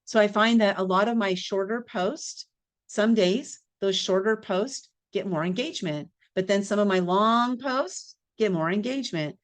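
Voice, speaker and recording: A woman hosting an online networking event, brisk, upbeat and chatty as she gives tips and runs the open mic. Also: medium pitch. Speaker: event host. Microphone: video call.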